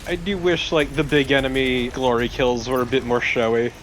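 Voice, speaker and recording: A man's voice: mid-range voice, little pitch movement, moderate pace, a bit bright, slight background noise, poor audio. A man chatting casually as a co-commentator on a video game. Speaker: let's play co-commentator. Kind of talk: casual chat.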